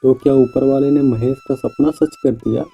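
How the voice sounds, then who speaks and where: A man's voice: medium pitch, fairly even intonation, moderate pace, mellow, breathy edge, highs cut off, soft background music, natural voice. A man voicing a naive, hopeful and honest young village farmer in a cartoon moral story, speaking earnestly with exclamations. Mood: grateful, resigned.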